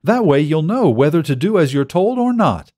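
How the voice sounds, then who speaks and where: A man voicing a courteous, patient robot museum guide in a science fiction audiobook, speaking in a formal, measured way. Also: on the high side, very animated, a little mellow, pitched above the performer's own voice.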